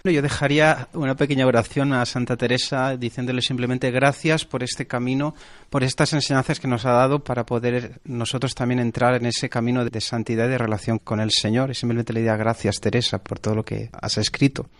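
A man from Valencia who is a priest, speaking gently and prayerfully in an interview. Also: medium pitch, level tone, fairly quick, no room sound. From Valencia, Spain.

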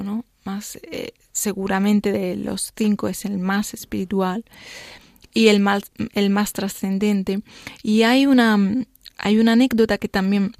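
Spanish pianist with a faint Italian lilt. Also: female voice, mid-range voice, a little mellow, no echo, clean studio sound. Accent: slight Italian lilt on Spanish. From Spain. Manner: thoughtful, explaining with many fillers and "¿no?". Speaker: guest pianist. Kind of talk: radio interview chat. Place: radio studio.

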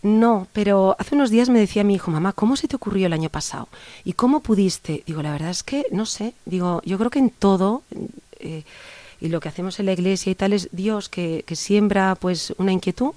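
A woman's voice: mid-range voice, fairly quick, mellow, dry recording. A middle-aged woman from Barcelona, a guest in an interview, talking at length in an earnest, rambling way with many restarts. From Barcelona, Spain. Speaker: interview guest, youth organizer. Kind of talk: casual conversational interview.

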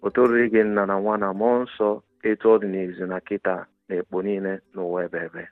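Nigerian male priest, low earnest voice, tinny phone-like sound. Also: steady tone, fairly quick, dry recording. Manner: earnest, reverent. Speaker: priest guest. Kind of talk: spoken prayer.

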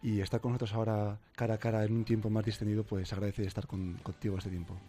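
A man's voice: fairly low pitch, little pitch movement, fast talker, mellow. A young man with a Castilian Spanish accent speaking as a radio presenter. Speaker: radio co-host, seminarian. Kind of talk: scripture reading and conversational radio. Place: radio studio. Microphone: studio microphone.

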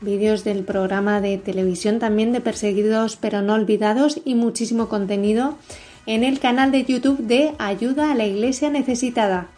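Mellow-voiced female radio contributor. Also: fairly high pitch, fairly even intonation, moderate pace, soft background music. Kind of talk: semi-scripted radio announcements.

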